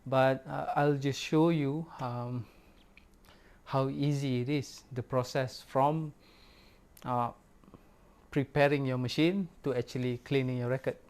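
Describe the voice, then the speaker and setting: A man whose first language is Malay, giving a casual, unhurried product review in English with many pauses and fillers. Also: mid-range voice, fairly even intonation, darkish tone, smooth. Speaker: record store owner reviewing gear.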